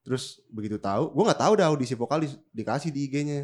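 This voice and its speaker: A man's voice: medium pitch, fast. A man with a Jakarta Indonesian accent and a slightly nasal, stuffed-up voice, co-hosting a podcast in slangy, joking, casual chat. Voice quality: congested, slightly nasal from a recent cold. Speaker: podcast co-host.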